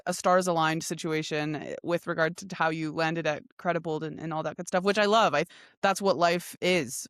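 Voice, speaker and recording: A woman's voice: fairly low pitch, smooth, no echo, clean studio sound. A woman hosting an interview podcast, reacting in a friendly, encouraging way. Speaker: podcast host. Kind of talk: casual interview conversation.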